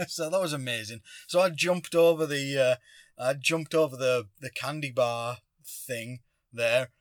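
A man hosting a podcast, telling a personal story in a casual, animated way with chuckles in his talk. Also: medium pitch, a little slow, dry recording, clean studio sound. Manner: animated, acts out shouted lines; chuckles while talking. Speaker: podcast host.

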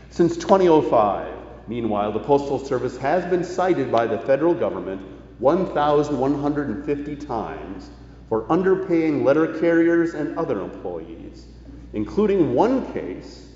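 A man preaching a sermon in a deliberate, emphatic way, with rhetorical phrasing. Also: medium pitch, darkish tone. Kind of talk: sermon, partly reading quoted news aloud. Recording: echoey church hall, slightly dull sound.

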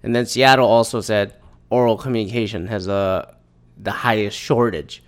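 Rambling, profane solo podcast host. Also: male voice, lowish voice, fairly even intonation, normal speed. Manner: rambling, loose and profane, with frequent fillers and restarts. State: slightly rough, itchy throat. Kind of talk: casual solo monologue.

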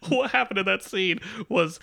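A man's voice: high-pitched, normal speed, gravelly, sharp tone. A young man co-hosting a podcast, telling stories in a laid-back, chuckling way. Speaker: podcast co-host. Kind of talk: casual chat.

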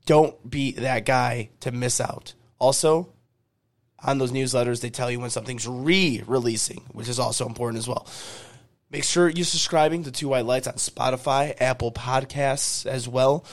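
A man with a hoarse, fading voice hosting a podcast, reading upbeat sponsor ads and repeating promo details. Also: medium pitch, moderate pace. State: hoarse, losing his voice. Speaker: podcast host. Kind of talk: podcast intro and ad reads.

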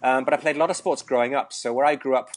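A man's voice: medium pitch, fairly even intonation, racing. A Welsh man, around his forties, chatting casually as an interview guest, joking and rambling with lots of fillers and restarts. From Wales. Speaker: tennis coach, guest.

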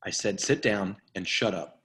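A condescending, bullying policeman, acted by a man in a script reading. His voice is on the low side, patronising and faintly menacing as he gives orders. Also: normal speed, a bit bright. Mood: curt threat. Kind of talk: screenplay table read.

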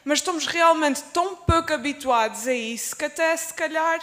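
High-voiced Azorean woman in a reverberant hall. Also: moderate pace, sharp tone. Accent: Azorean Portuguese. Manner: hesitant, restarts words. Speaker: panelist, stage performer. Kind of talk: panel discussion. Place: public event space.

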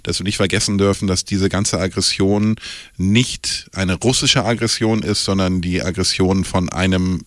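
A man on a radio panel, explaining his view in a measured, conversational way. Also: deep voice, fairly even intonation, a bit bright, no room sound, clean studio sound. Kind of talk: casual discussion.

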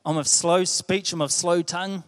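High-voiced New Zealand preacher in an echoey hall. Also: male voice, fairly even intonation, normal speed. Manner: earnest, conversational, repeats phrases. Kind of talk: sermon. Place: church hall.

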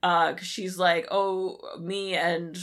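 A woman's voice: fairly low pitch, little pitch movement, takes it slowly, fairly bright tone. A woman co-hosting a podcast in casual chat, recapping an old cartoon with a sweary, incredulous manner. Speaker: podcast co-host.

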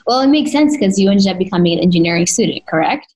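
A woman's voice: mid-range voice, expressive, quick pace, a little mellow. A woman interviewing a guest, asking questions in a friendly, conversational way with fillers.

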